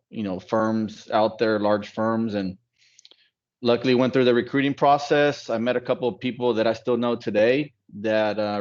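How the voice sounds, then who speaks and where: A man from Dallas speaks on a video call with a low voice that stays level and even. He talks in a relaxed, reflective way, pausing often with fillers. The sound is dull and lo-fi, like compressed call audio. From Dallas, United States. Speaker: accounting firm partner. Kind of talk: casual panel talk on a video call.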